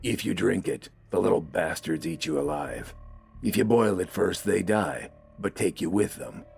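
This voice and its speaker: A man's voice: low voice, normal speed, vocal fry, darkish tone, slightly rough, faint music behind, exaggerated voice. A man voicing a grim, unflappable army corporal in an audio story, curt and commanding.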